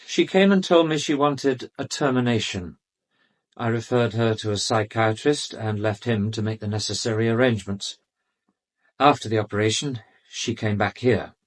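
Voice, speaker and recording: An actor playing an arrogant, urbane British doctor in a radio drama, speaking with haughty, defensive precision. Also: male voice, fairly low pitch, normal speed, close to an everyday voice. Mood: dismissive, defensive. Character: arrogant, urbane male doctor under police questioning.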